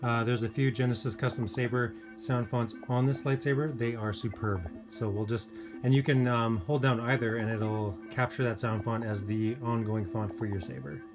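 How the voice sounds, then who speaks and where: A man giving a casual hands-on gadget review, speaking informally and hesitantly with pauses mid-thought. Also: medium pitch, steady tone, over music. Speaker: product reviewer. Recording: clear background noise with clicks.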